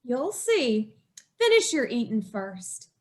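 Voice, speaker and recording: An actress plays a distraught wife in an old-time radio horror drama. Her voice is high and she speaks slowly. She sounds secretive and haunted, repeating words for dramatic weight. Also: very animated. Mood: curt, evasive. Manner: dramatic, emphatic repetition, haunted confessional telling.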